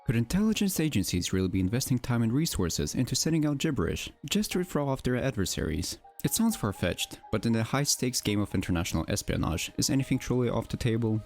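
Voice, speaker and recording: A man's voice: mid-range voice, very animated, fast, hardly any pauses, darkish tone, faint music behind. A man delivering scripted documentary narration in a wry, theatrical manner, with rhetorical asides. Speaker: narrator of a mystery documentary channel.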